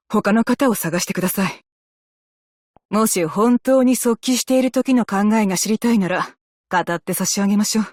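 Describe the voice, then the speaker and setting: A woman playing a composed, dutiful female naval officer in a game, speaking with measured, formal military politeness. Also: mid-range voice, darkish tone, no room sound, clean studio sound, natural voice. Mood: reserved, businesslike. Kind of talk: scripted game character voice lines in Japanese.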